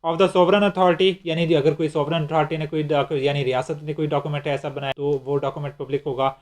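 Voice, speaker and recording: A man's voice: fairly high pitch, flat delivery, brisk. A man with a Pakistani accent explaining legal material like a lecturer, in a didactic way, restating his points. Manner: didactic, repeats and rephrases points. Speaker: law lecturer.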